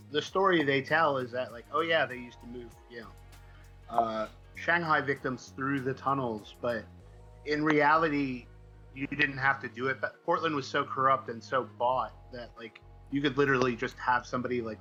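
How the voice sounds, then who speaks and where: Bright-voiced American man, halting casual storyteller. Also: mid-range voice, dull audio, soft background music. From Midwestern United States. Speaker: comic book writer, interview guest.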